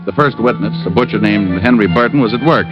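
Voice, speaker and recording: A man's voice: fairly low pitch, fast talker, close to an everyday voice. A man playing a sardonic, dogged private detective who narrates his own case and wisecracks in 1940s radio style. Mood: narrating. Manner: wisecracking, hard-boiled, sardonic. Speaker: lead detective and first-person narrator. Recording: dull old radio recording with music.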